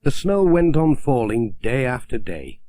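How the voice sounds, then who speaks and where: A man's voice: mid-range voice, big pitch swings, a little slow, warm tone, no echo. A man narrating a children's story from a script, as an audiobook reader.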